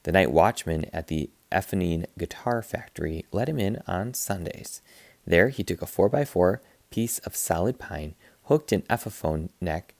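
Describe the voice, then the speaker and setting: Male read-aloud narrator for children's books: playful, lively swings in pitch, close dry sound. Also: normal speed, no echo. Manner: expressive, playful, acts out sound effects. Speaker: teacher reading aloud to students. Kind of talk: animated children's picture book read-aloud.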